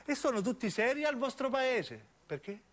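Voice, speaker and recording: A man's voice: extremely high pitch, sing-song, moderate pace, fairly bright tone, voice pushed up, full character voice. A man playing a nosy, talkative old woman in a radio play, homespun and prodding, with a southern Italian accent. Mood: chatty. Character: chatty, nosy, homely old Sicilian woman.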